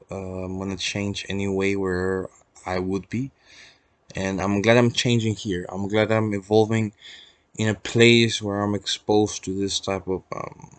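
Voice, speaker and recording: A young man with a Peruvian accent speaks in a fairly low voice, recorded close and dry. He rambles reflectively in a casual personal vlog, pausing and hesitating as he searches for words, with some easy swearing. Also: dry recording. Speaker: vlogger.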